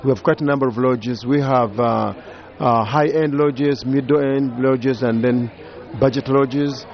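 A man's voice: medium pitch, steady tone, unhurried, muffled recording. A man with a Ugandan accent, a safari tour operator talking in a radio interview, hesitant and searching for words with many fillers.

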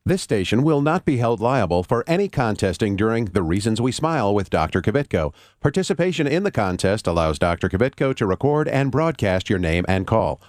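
A male announcer giving a measured, formal reading of a contest disclaimer. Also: fairly low pitch, no echo, clean studio sound.

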